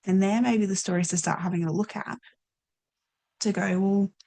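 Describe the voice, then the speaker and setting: A woman's voice: medium pitch, steady tone, moderate pace, gravelly, warm tone. Encouraging woman coach hosting a chat. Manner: encouraging, coaching tone, addresses viewers. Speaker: coach and podcast host. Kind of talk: warm reflective casual chat.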